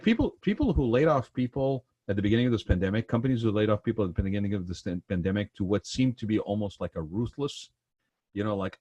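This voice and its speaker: Podcast host from the Gulf region, male, rambling delivery with a fairly low voice and a dry, close sound. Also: a little mellow, husky, no room sound. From Persian Gulf region. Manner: rambling, repeats words, tags thoughts with "right?" and "you know". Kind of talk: casual conversational interview.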